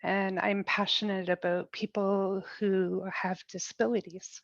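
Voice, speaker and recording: A woman from Ontario working in developmental services, introducing herself softly on a call. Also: medium pitch, flat delivery, a little slow. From Ontario, Canada. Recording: dull, dry call audio.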